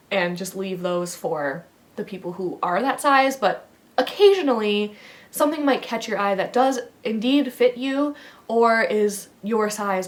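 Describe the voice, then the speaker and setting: Stuffy-nosed chatty woman vlogger. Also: medium pitch. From American Midwest. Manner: rambly, filler-heavy, friendly advice. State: stuffy from a head cold. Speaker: fashion vlogger. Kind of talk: casual chatty vlog.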